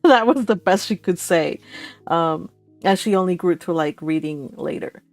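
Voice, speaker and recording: A woman's voice: lowish voice, normal speed, no echo, soft background music. A woman chatting casually and giggly as host of a book club read-along. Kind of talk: casual chat.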